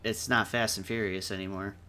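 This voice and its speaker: A man's voice: lowish voice, steady tone, fairly quick, crowd noise. A man hosting a stream, chatting casually about games in an opinionated way with some swearing. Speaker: stream host. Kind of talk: casual gaming chat. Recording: music playing underneath. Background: music.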